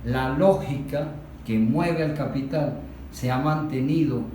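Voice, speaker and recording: A Venezuelan man in a political discussion, explaining things didactically and deliberately, with emphatic points and rhetorical questions. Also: mid-range voice, warm tone. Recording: very echoey room, distant mic, faint noise.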